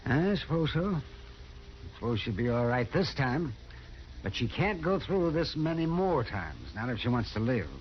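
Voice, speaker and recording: An actor playing a caring but blunt frontier town doctor, emphatic, in a 1950s radio western. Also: male voice, mid-range voice, big pitch swings, moderate pace, natural voice. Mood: grave, indignant. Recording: muffled vintage radio recording, faint noise.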